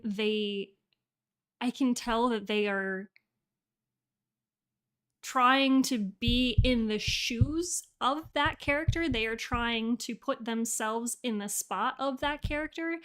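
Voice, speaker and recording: High, bright-voiced woman streamer, unhurried. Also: clear, resonant tone. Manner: gushing, enthusiastic, halting. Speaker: livestream host and voice actor. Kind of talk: casual livestream reaction chat. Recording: faint music behind.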